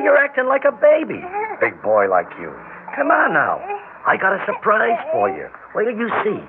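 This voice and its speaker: A man playing a chatty, excitable father coaxing his baby son in an old radio drama. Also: high-pitched, exaggerated voice. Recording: noisy, narrow-band vintage radio recording.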